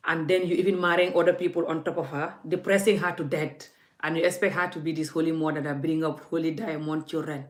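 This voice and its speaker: A woman with a fairly low voice delivers a heated vlog rant, scolding and forthright, talking straight to her viewers. The room around her rings with a noticeable echo. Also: steady tone, fast. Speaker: vlogger. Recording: echoey room.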